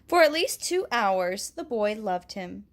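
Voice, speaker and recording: A woman reading a picture book aloud in a gentle, expressive way, with a soft laugh now and then. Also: medium pitch, a little slow. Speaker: storybook reader.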